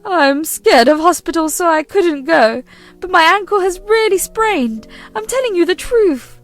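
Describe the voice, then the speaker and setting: A woman putting on a shrill, whiny mother-in-law. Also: lively intonation, measured pace, soft background music. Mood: shrill accusing. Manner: whiny, accusing, melodramatic.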